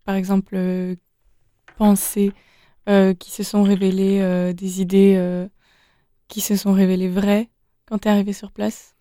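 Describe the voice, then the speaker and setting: A woman interviewer asking slow, hesitant questions with fillers. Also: mid-range voice, level tone, mellow, dry recording, studio quality.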